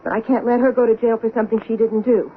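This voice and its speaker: A woman's voice: a little high, steady tone, fast talker, natural voice. Actress as an earnest, deluded woman, thin old radio sound. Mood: righteous, determined. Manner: earnest, insistent. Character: obsessed soap opera fan, earnest, righteous, deluded woman. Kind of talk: 1970s radio drama acting. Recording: narrow, hissy old radio broadcast.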